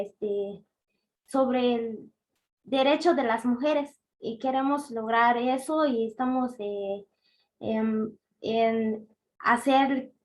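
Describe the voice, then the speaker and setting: Hesitant young woman, higher voice. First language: Tzeltal. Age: young adult. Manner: hesitant, many pauses and fillers. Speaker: youth representative. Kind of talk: speaking at a conference session.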